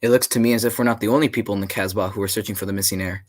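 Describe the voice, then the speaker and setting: A man playing an earnest, loyal gentleman sidekick who also narrates an old-time radio mystery. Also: lowish voice, fairly even intonation, racing, natural voice. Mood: puzzled. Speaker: actor in a student radio drama.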